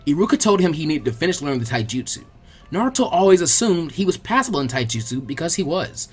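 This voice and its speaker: A man reading a story aloud as an expressive, colloquial audiobook narrator, with a husky voice. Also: on the high side, quick pace. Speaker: fan fiction audiobook narrator.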